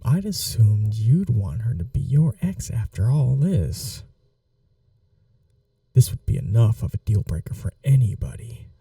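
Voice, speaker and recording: Man acting a dark-voiced, unhurried mafia boss. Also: medium pitch, big pitch swings, creaky, dry recording, distant mic, exaggerated voice. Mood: firm, protective. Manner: unhurried, reassuring, teasing. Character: calm, flirty, protective male mafia boss. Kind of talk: soft-spoken ASMR roleplay acting.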